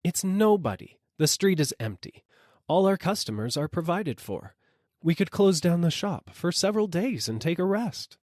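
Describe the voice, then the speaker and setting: A man voices a storybook shopkeeper's wife who is firm, scolding and dismissive. The voice is lifted in pitch and lively, recorded dry. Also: normal speed, no room sound.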